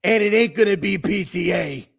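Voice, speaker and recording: A hoarse man with a raspy voice, co-hosting with brash, shouty, promo-style trash talk. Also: sits high, normal speed, crowd noise. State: hoarse from screaming. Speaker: co-host. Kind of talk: wrestling-promo hype talk.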